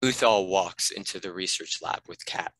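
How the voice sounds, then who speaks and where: Male narrator for script directions, deep and crisp-edged, scripted delivery. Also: normal speed, fairly bright tone. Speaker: narrator reading stage directions.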